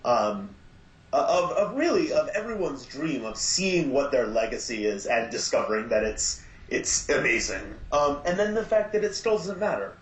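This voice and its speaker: A man chatting as a podcast guest, talking haltingly with many fillers and restarts. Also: mid-range voice, very animated. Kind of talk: casual podcast chat. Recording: roomy, dull lo-fi sound with faint noise.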